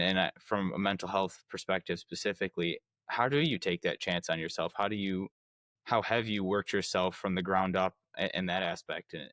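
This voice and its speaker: A man's voice: deep voice, a bit bright, no echo. A young adult man hosting a podcast interview, asking long, rambling questions with frequent restarts. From United States. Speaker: podcast host. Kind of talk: casual interview chat.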